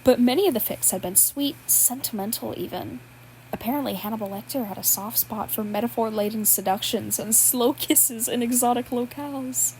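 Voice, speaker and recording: A woman's voice: on the high side, normal speed, a little mellow, a little hiss or hum, rough recording. A woman reading fan fiction aloud, breaking into laughs as she goes. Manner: laughs at the text while reading. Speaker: podfic reader.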